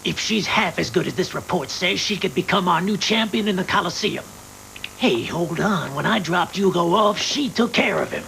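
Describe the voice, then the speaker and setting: Noisy old tape, cold scheming anime villain, domineering, heavy vocal fry, fairly high pitch. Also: male voice, expressive, moderate pace, bright, forceful tone, exaggerated voice. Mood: smug menace. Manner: smug, commanding. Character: scheming, cold crime boss, smug and domineering. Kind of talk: English anime dub acting. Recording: noisy worn VHS tape with hum.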